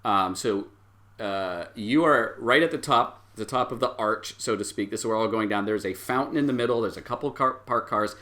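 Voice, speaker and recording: A man working as game master, improvising vivid scene narration with frequent halting pauses. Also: lowish voice, pushed and bright.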